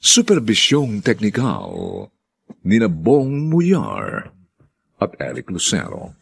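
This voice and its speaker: Gravelly, theatrical male radio-drama announcer. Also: on the low side, huge pitch swings. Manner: solemn, theatrical, drawn-out phrasing. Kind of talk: dramatic scripted narration.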